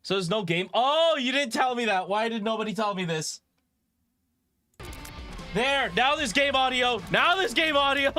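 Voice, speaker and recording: A man with a raspy voice chatting casually and rambling in a self-deprecating way as he livestreams a game. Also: very high voice, lively intonation, normal speed, long pauses, sharp tone, no echo, soft background music. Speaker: livestreamer, VTuber host. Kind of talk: casual gaming stream chat.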